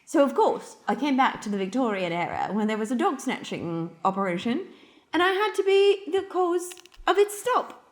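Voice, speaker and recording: A woman plays a very high-voiced mouse lady who doubles as an undercover agent. She sounds arch, coy and brazen by turns. It is theatrical comic radio play acting. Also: big pitch swings, moderate pace. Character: coy female mouse turned brazen future police agent.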